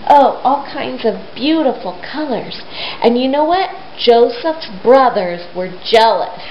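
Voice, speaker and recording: A woman's voice: fairly high pitch, expressive, measured pace. A woman retelling a Bible story to young children, speaking simply and emphatically and putting questions to them. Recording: roomy, dull lo-fi sound with hiss.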